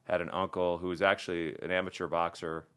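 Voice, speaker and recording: A man from the American Midwest, a plastic surgeon speaking as a podcast guest in relaxed casual conversation, full of restarts and fillers. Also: deep voice, brisk, clean tone. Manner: relaxed, conversational, many restarts and "you know".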